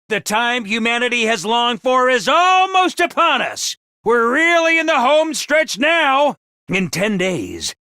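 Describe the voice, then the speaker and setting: A man's voice: very high voice, pushed and bright, dry recording, studio quality, exaggerated voice. An actor voicing a pompous, cheery male academy commander in a video game, giving rousing speeches to his troops. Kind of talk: game voice lines.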